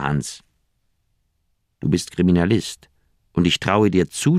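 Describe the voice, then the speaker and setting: Middle-aged doctor, very low voice, earnest and wordy, persuasive loyal friend, dry close radio drama sound. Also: male voice, no room sound. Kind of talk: German radio crime drama acting.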